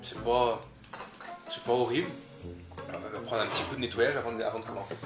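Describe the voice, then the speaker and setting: A man's voice: mid-range voice, a little fast, roomy sound, over music. A man from Alsace with an Alsatian accent, chatting casually and jokily while tasting food, often tripping over his words. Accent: Alsatian French. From Alsace, France. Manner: jokey, animated, stumbles over words.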